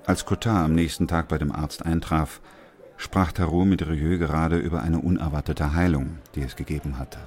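An actor playing a composed doctor who narrates a plague chronicle in a measured, sober radio drama style. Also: male voice, extremely low pitch, steady tone, darkish tone. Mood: calm.

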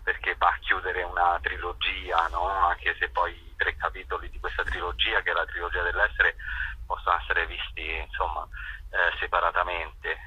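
A man interviewed on radio, reflective and halting, with many false starts. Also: deep voice, sharp tone, slightly rough, no room sound, muffled recording. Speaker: author and actor interviewed as guest.

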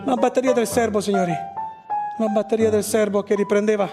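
A woman's voice: medium pitch, steady tone, moderate pace, lightly acted. A woman playing a haunted war witness in a radio drama monologue, declaiming and repeating herself. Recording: music over the voice. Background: loud music.

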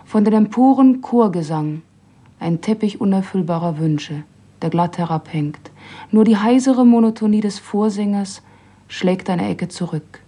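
A woman's voice: medium pitch, unhurried, warm tone, husky. A woman reading poetic, scripted literary prose. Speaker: reader of literary texts.

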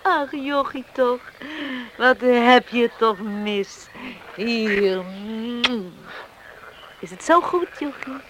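A woman's voice: a little high, extremely slow, long pauses. An actress in a radio drama playing a coy young village woman who teases and resists her sweetheart. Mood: flustered, teasing. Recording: noisy old radio play recording.

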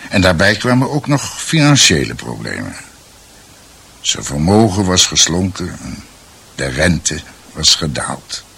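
A reflective storyteller in a radio play, very deep, slow and raspy. He narrates conversationally, pausing to find his words. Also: sing-song, fairly bright tone, exaggerated voice. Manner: deliberate, searching for words. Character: thoughtful male storyteller recounting family history.

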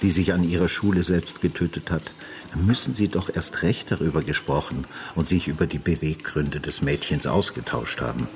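An actor playing an older retired homicide detective in a German radio drama, questioning in a measured, matter-of-fact, insistent way. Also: male voice, very deep voice, a little hiss or hum. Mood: probing, calm. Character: retired male homicide detective, calm, persistent, probing.

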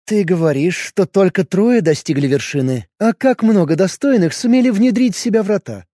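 A man's voice: sits high, expressive, normal speed, mellow, no room sound, studio quality, voice pushed up. Leisav, a man voicing a sarcastic young woman. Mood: sarcastic bold. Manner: raised, pert, mocking tone. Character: sharp-tongued young woman, bold, sarcastic. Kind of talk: audiobook character voice.

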